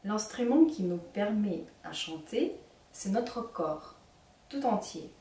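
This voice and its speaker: A young woman with a Ukrainian accent leading a children's singing workshop, speaking slowly and clearly as she gives instructions. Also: mid-range voice, animated, warm tone, slight background noise. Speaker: singing teacher. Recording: echoey room, mic at a distance.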